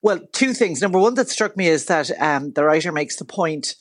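A middle-aged woman from Ireland with a Dublin accent and a loud voice, chatting forthrightly as a radio guest and laughing easily. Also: sits low, expressive, normal speed, no echo, clean studio sound. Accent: Dublin Irish. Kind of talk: casual radio chat.

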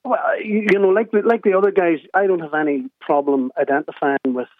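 A man from Northern Ireland with a Northern Irish accent, calling in to a radio show and chatting in a relaxed way. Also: fairly high pitch, fairly quick, slight background noise. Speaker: radio caller. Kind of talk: casual phone-in chat. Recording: tinny phone line.

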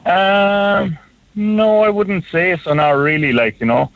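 A man's voice: high voice, steady tone, takes it slowly, creaky. A man from Hungary calling into a radio show, rambling and full of "like, you know" fillers. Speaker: caller. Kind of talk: phone-in call. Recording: tinny phone line.

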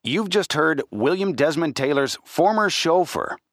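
A man's voice: fairly high pitch, lively intonation, measured pace, heavy background noise. An actor playing a grandiose, formal male radio news anchor in a 1920s broadcast style. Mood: grave, showy. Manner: formal, showy announcer delivery. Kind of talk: old-time radio announcing, acted.

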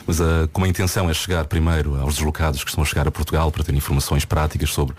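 Brief: man, very deep and husky, rattles through explanations at speed. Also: little pitch movement. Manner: brisk, explaining. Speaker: radio project lead. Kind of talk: radio studio chat. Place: radio studio.

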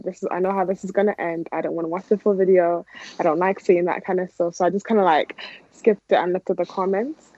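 A woman's voice: lowish voice, darkish tone. A young woman with an Irish accent giving informal, conversational interview answers peppered with "like". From Ireland. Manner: informal, frequent "like". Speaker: interview guest, athlete. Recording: dull, lo-fi audio.

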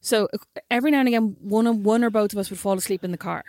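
A woman co-hosting a casual chat with her sister, telling stories quickly and teasing. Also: mid-range voice, darkish tone, no room sound, clean studio sound. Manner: teasing, rapid storytelling. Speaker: podcast co-host.

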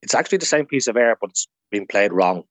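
A man's voice: on the low side, expressive, quick pace, no room sound. A man with an Irish accent talking as a guest historian in a radio interview, explaining things informally through anecdotes.

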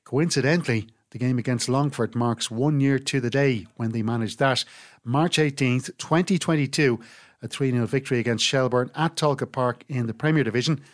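Male Irish sports podcast host, mid-pitched, delivering scripted match round-up narration. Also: normal speed.

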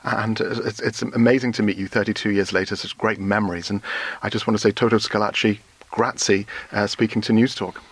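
A man's voice: lowish voice, steady tone, husky. Chatty Irish radio reporter, man. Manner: chatty, upbeat, quick asides. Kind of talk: radio interview and studio report.

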